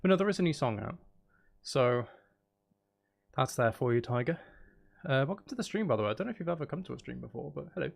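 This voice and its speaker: A man's voice: medium pitch, animated, fast talker, gravelly, pauses often. A man hosting a livestream, chatting casually in a rambling, self-interrupting way. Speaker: live streamer, channel host. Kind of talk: casual livestream chat, greeting viewers.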